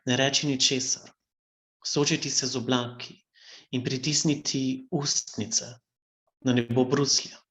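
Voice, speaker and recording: Man poet, native Slovene speaker, mid pitch, careful, unhurried. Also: flat delivery, a bit bright. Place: video call.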